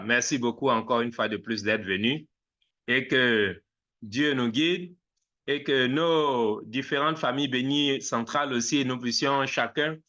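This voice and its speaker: A man delivers a formal address as a reverend, deliberate and exhorting, with repeated phrases for emphasis. His voice is bright and forceful at a middle pitch. The sound is slightly muffled, like an online call. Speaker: reverend giving opening address.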